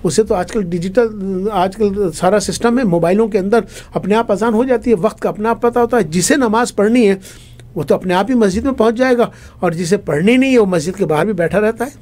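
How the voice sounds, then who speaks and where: A man's voice: sits high, brisk, darkish tone. A man from India, an imam answering in a conversational interview, emphatic and repetitive, often posing rhetorical questions.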